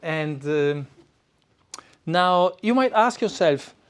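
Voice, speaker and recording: A middle-aged man with an Italian accent presents to a live audience in an event room. His voice sits a little high for a man. He speaks in a conversational way, with plenty of "uh" fillers and restarts. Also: lively intonation, takes it slowly, clear, resonant tone. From Italy.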